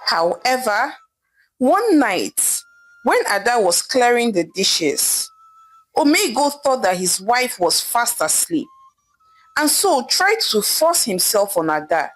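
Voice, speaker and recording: A woman narrating a scripted folktale in a dramatic, expressive storytelling manner. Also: on the high side, slow pace, rough recording. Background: background music.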